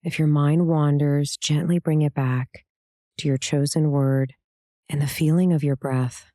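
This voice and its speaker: Low, mellow woman guiding meditation. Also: no echo, studio quality. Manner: calm, gentle, soothing instruction with pauses. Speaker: meditation guide, yoga teacher. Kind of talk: guided meditation.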